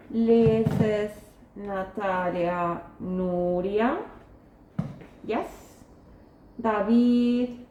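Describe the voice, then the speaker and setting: A woman teacher speaks slowly in a slightly high voice, methodically checking who is present. Her voice carries a roomy echo and a somewhat muffled tone. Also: mellow, a little hiss or hum. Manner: methodical, checking names one by one. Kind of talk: classroom roll call. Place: classroom.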